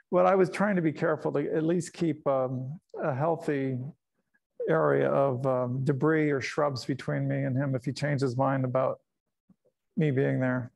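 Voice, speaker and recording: A man's voice: medium pitch, steady tone, measured pace, vocal fry, a little mellow. A middle-aged man who grew up in Panama, giving a casual slide talk about his nature photos, rambling with fillers, restarts and chuckles.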